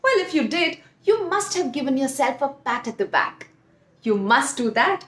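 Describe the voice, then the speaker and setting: A woman telling a story to young children, expressive and speaking directly to her listeners. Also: high voice, moderate pace. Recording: roomy echo.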